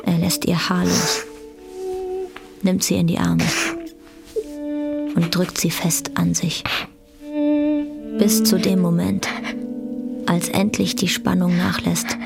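A woman's voice: lowish voice, little pitch movement, takes it slowly, gravelly, long pauses, loud music behind the voice. A woman narrating a German radio drama from a script.

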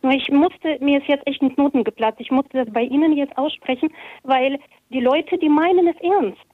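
A woman's voice: sits high, normal speed, no room sound. A woman with an Eastern European accent, a teacher calling in to a radio show, talking earnestly and emphatically. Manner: earnest, emphatic, repeats herself. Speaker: caller. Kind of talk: emotional phone-in call. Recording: dull phone line.

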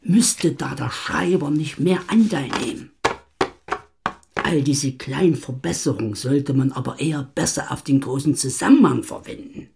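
Radio play adviser: careful smooth talker, husky and gravelly, weighs every phrase, hesitant. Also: male voice, mid-range voice, darkish tone. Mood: cautious, urging. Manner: halting, deliberate, searching for words. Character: hesitant, fussy male publishing adviser, smooth-talking and cautious. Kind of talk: German radio play acting.

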